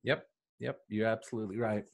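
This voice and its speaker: A man's voice: on the low side, level tone, fairly quick, vocal fry. A man hosting a casual interview, asking questions in an upbeat, encouraging way. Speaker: host.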